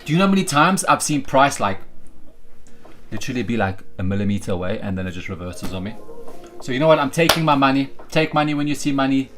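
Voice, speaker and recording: A young South African man who hosts a live day-trading stream. He chats casually with his viewers, cheers his trades loudly and then drops into low, joking asides. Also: very animated, fast. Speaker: day-trading livestream host.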